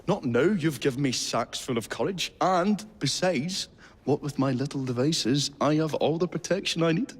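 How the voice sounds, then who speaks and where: A man's voice: on the high side, moderate pace, slightly rough. An actor playing a nervous, eccentric young male inventor who hesitates with many ums and uhs, in a game cutscene. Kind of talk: video game cutscene acting.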